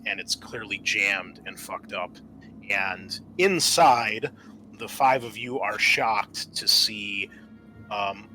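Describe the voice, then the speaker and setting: A man narrating a tabletop horror game as game master, pausing often as he describes the scene. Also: fairly low pitch, pushed and bright.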